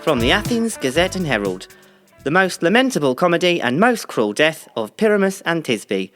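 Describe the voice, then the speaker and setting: A man playing a grandiose newspaper theatre previewer, reading a scripted piece in a theatrical, deliberate way. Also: a little high, big pitch swings, a bit bright, soft background music. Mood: grand, relishing.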